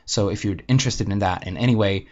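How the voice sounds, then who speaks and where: A man's voice: on the low side, fast. Young man ranting, lo-fi audio. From Slovakia. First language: Slovak. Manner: swears freely, rambling and candid. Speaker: gaming channel host. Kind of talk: casual ranting vlog.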